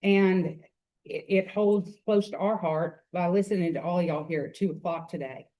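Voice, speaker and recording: A woman's voice: lowish voice, moderate pace, mellow, poor audio. A woman with a Memphis, Tennessee accent giving earnest, rambling public comment at a hearing, speaking into a microphone. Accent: Southern US, Memphis Tennessee. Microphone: podium microphone.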